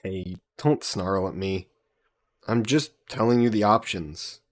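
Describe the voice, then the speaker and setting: A man voicing an easygoing, teasing young adventurer hero in an intimate fantasy roleplay audio drama, casual and gently coaxing. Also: on the low side, slow. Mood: persuading, casual. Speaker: voice actor.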